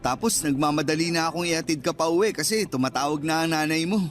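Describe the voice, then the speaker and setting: Actor as higher-voiced Filipino sweet-talking suitor. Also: male voice, fast, lightly acted. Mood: teasing, flirtatious. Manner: flirtatious, earnest. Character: persistent, sweet-talking suitor wooing his old flame. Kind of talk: Tagalog radio drama dialogue. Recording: faint music bed.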